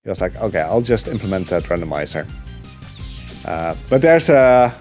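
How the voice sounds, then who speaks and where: Low-pitched male speedrunner, easygoing, filler-heavy live commentary, faint game music behind. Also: normal speed. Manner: relaxed, rambling, many filler ums and uhs. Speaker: speedrunner commentating his run.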